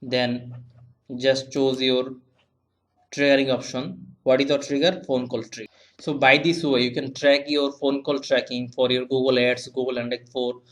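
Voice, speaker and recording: A young man giving a step-by-step software tutorial in halting, repetitive, simply phrased non-native English. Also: medium pitch, flat delivery, poor audio. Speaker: web analytics tutorial presenter.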